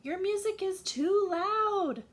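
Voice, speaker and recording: A woman's voice: very high-pitched, lively intonation, slow, pitched above the performer's own voice, exaggerated voice. A woman voicing a small, tired mouse from a children's storybook. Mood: exasperated, pleading.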